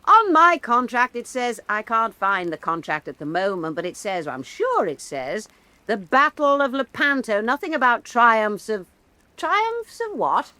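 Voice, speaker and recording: Radio drama role: sardonic, defiant woman painter, higher-pitched, slow, dismissive delivery. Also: big pitch swings, sharp tone, no room sound, full character voice. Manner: terse, dismissive, dry retorts.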